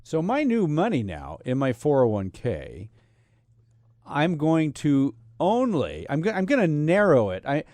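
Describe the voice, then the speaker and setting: Male co-host, warm lively voice, dry close sound, summarizes and questions. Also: on the high side, measured pace, dry recording. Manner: asks clarifying questions, restates points. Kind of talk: casual financial chat.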